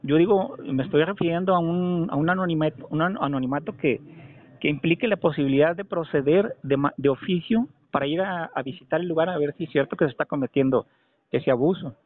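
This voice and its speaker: A Mexican man making a call to argue a point, speaking insistently and haltingly, often repeating phrases for emphasis. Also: on the high side. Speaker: caller. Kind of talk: phone complaint, argumentative questioning. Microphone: telephone. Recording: narrow, tinny telephone call recording.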